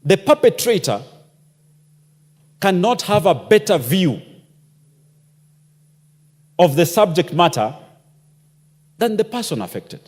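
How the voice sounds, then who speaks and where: A man addresses parliament with passion and keeps throwing out rhetorical questions. His voice sits a little high and swings widely in pitch. The long reverb of a large chamber hangs behind every word. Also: very animated, takes it slowly, long pauses, a little mellow, husky. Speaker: member of parliament. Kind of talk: parliamentary debate speech.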